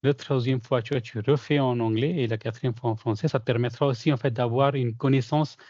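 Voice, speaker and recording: A man's voice: medium pitch, steady tone, brisk, a little mellow, no echo, lo-fi sound. A man who is not a native French speaker, sharing his experience as a certified former trainee in a casual question session, hesitant and full of fillers. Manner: hesitant, many fillers and restarts. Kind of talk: casual testimonial in a video call Q&A.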